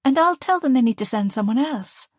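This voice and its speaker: A woman's voice: high-pitched, expressive, fairly quick, dry recording, clean studio sound, voice pushed up. A woman reading the part of a nervous, earnest young student daughter. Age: young adult. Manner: earnest, hesitant.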